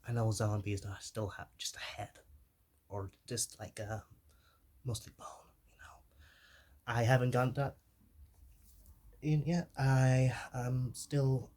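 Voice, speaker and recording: A man playing a shy, awkward, gentle zombie in an ASMR roleplay, speaking softly and hesitantly and drifting into whispers. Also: medium pitch, level tone, slow, long pauses, lightly acted. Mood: awkward, apologetic. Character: shy, awkward, soft-hearted young male zombie.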